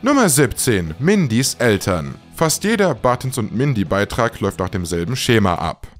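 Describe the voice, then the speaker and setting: An animated man reads a scripted countdown as the host. His mid-pitched voice moves up and down a lot, with faint music behind it. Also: normal speed. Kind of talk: scripted narration of a top list.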